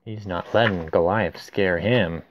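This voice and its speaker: A man's voice: low voice, measured pace, dull audio, slight background noise. A man reading a rhyming children's picture book aloud, adding simple casual explanations for young listeners. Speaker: storyteller.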